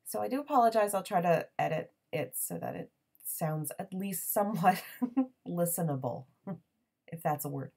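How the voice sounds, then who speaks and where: A woman in her thirties hosting a crafting channel, chatting casually in a voiceover with a raspy morning voice, full of ums and little laughs. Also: on the low side, lively intonation, moderate pace, a little mellow.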